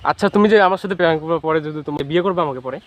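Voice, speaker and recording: Fast-talking young Bengali man, noisy recording. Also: fairly high pitch, muffled recording. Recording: noisy home-made recording.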